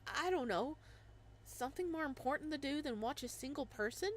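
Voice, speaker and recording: A skeptical young male florist with a dry, deadpan manner, voiced by a woman reading aloud. His lines come in a fairly high, lively voice. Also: brisk.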